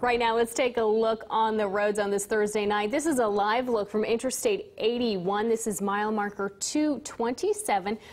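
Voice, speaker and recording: A woman's voice: a little high, fairly even intonation, moderate pace, few pauses, a little hiss or hum. An American woman reading scripted local television news as an anchor. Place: TV news studio.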